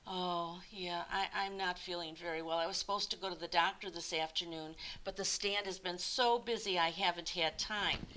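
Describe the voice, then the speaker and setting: A woman's voice: fairly low pitch, fairly even intonation, normal speed, hardly any pauses, bright, forceful tone, low-quality recording. A woman voicing an overworked, unwell storybook newsstand man who speaks hesitantly. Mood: weary, surprised. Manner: hesitant, faltering.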